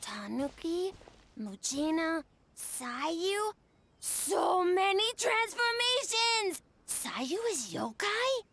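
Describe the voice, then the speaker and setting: An actress voicing a small, childlike, excitable tanuki yokai in a video game, exclaiming in short, broken third-person phrases. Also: female voice, very high-pitched, very slow, a little hiss or hum, exaggerated voice. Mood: excited, curious. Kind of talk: English game voice acting.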